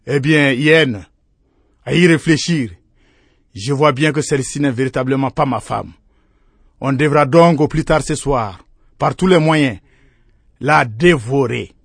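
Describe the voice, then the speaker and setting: A man's voice: mid-range voice, a little slow, creaky, long pauses, breathy edge, no room sound, clean studio sound. A man voicing a proud, gullible lion king in a folktale, with an emphatic, majestic manner. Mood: swayed, resolute.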